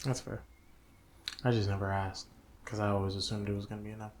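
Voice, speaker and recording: A woman's voice: a bass voice, level tone, fast, a little mellow, slight background noise. A woman co-hosting a podcast, chatting casually and sometimes searching for words. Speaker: podcast co-host. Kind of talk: casual chat.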